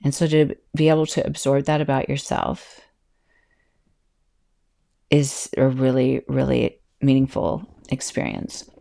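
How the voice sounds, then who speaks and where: A middle-aged woman hosts her own podcast as a yoga teacher, speaking in a mellow, gravelly voice. She talks casually, breaks off her own sentences and laughs often. Her voice stays calm even when it turns loud and carries. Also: very deep voice, a little slow, pauses often. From California. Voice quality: can be loud and projecting, calm-sounding. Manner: chatty, self-interrupting, laughs often. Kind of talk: casual solo podcast talk.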